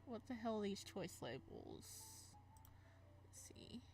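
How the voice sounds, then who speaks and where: A woman reading a visual novel aloud and commenting casually as she plays, expressive and reacting out loud, sometimes stumbling over the text. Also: mid-range voice, slow pace, in a whisper. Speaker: game streamer, let's player.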